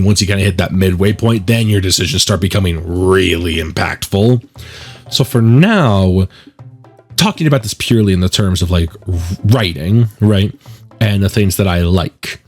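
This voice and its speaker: A man hosting a gaming video, giving conversational, opinionated let's play commentary and reading out narration. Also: low voice. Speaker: gaming video host and musician.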